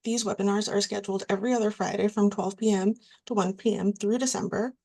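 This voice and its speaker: A woman presenting a webinar, reading from slides in a steady, scripted way. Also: mid-range voice, fast talker, gravelly.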